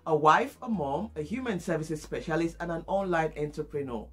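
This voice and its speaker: A woman giving a brisk, matter-of-fact personal testimonial. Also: low voice, lively intonation, a bit bright, slightly rough. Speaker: human services specialist.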